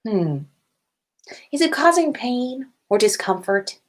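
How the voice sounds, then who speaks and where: A woman reads as a stern, puzzled school nurse witch in a storybook style. She questions briskly but at an unhurried pace, with a lively rise and fall. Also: on the high side, pitched above the performer's own voice, exaggerated voice.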